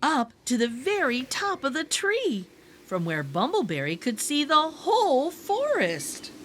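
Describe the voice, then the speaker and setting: Slow, animated high-voiced woman storyteller. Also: slight background noise. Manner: animated, addresses young listeners with questions. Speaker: children's read-aloud storyteller. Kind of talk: expressive scripted storybook narration.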